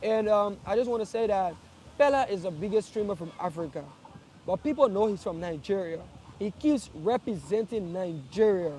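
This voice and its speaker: A man's voice: high voice, lively intonation, normal speed, darkish tone, slight background noise. A young man from Liberia, speaking earnestly to his followers in a casual live address, often repeating and restarting phrases. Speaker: streamer.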